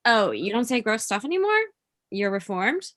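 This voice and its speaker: Actress as sardonic, lively-pitched thirty-year-old woman. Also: moderate pace. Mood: mocking. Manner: dry, cutting. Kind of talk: naturalistic comedy table read.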